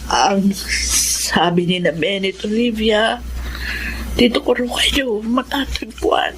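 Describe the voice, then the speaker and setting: An actress playing a remorseful, lonely wife in a radio drama. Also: female voice, medium pitch, slow, background noise, close to an everyday voice. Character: remorseful, lonely wife returning to her family.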